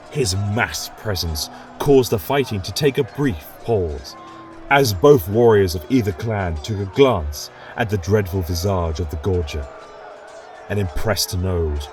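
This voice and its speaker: A man giving measured, dramatic narration of a fantasy story. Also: sits low. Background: faint music.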